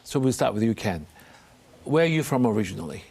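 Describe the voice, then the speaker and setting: A man's voice: lowish voice, big pitch swings, fairly quick, vocal fry, a little mellow, slightly rough. A man hosting a television talk show, presenting and putting questions to guests in a studio interview.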